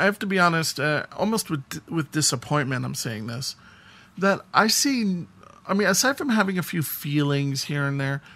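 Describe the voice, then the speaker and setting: A man's voice: a little high, vocal fry, fairly bright tone. A man co-hosting a podcast, telling anecdotes in a casual, rambling conversational way. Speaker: podcast co-host.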